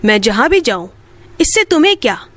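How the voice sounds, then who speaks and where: A woman's voice: a little high, sing-song, normal speed, no room sound. A woman voicing a scornful, callous young wife in a children's moral story.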